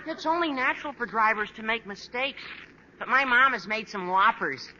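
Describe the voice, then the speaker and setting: Through the noise of a dull 1940s radio recording comes a gawky teenage boy. His squeaky, very high voice is earnest and excitable, full of oblivious eagerness in broad sitcom style. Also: moderate pace.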